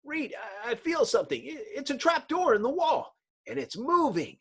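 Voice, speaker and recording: A man voicing an excitable male comic-book hero in a breathless, exclamatory, melodramatic reading. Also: extremely high pitch, normal speed, pitched above the performer's own voice, full character voice. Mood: panicked. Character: excitable, heroic male scientist and young sidekick.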